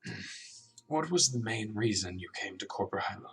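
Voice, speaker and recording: A man's voice: fairly low pitch, animated, normal speed, creaky, a bit bright. A man playing an earnest, awkward doctor in a radio drama, hesitant, apologetic and reflective as he questions a patient. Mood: frustrated, pondering. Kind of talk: radio drama acting with inner monologue. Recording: distant mic, music underneath.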